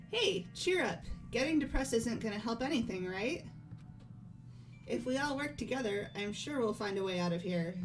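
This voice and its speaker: A woman acting a high school girl in an amateur game dub, speaking in a measured, articulate way. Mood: encouraging.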